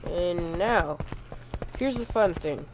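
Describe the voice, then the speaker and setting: A man's voice: sits high, lively intonation, takes it slowly, poor audio. A young man with a stuffy, cold-blocked voice, rambling through casual gameplay commentary with lots of goofy self-corrections. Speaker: gaming YouTuber.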